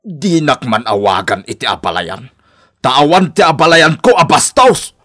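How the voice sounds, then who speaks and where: Sharp, indignant Ilocano father. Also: medium pitch, sing-song, measured pace. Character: indignant, stern father defending his married child. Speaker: radio drama actor. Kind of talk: Ilocano radio drama acting.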